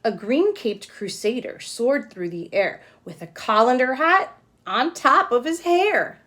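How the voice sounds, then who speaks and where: A woman's voice: fairly high pitch, expressive, a little slow, fairly bright tone. A woman kindergarten teacher giving a simple lesson and picture-book read-aloud, explaining step by step and checking with questions.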